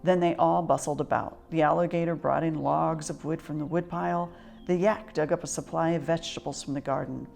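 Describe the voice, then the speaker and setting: Low-voiced woman reading a children's story. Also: steady tone, fairly quick, creaky, darkish tone, faint music behind. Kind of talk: children's picture book read-aloud.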